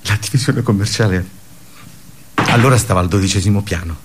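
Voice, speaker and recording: An actor playing a brooding, darkly ironic office worker in a radio theatre monologue, halting and conversational with emphatic bursts. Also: male voice, on the low side, a little hiss or hum, lightly acted. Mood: wistful recollection.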